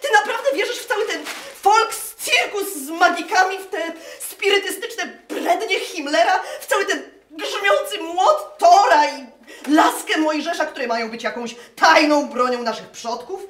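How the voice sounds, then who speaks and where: An actress playing a distraught, scornful wife in a radio drama, speaking with theatrical emotion. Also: female voice, extremely high pitch, lively intonation, a little slow, fairly bright tone, heavily acted. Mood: scornful, incredulous. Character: distraught, scornful German wife pleading with her scientist husband. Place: live performance inside a wooden barn. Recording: echoey barn stage recording.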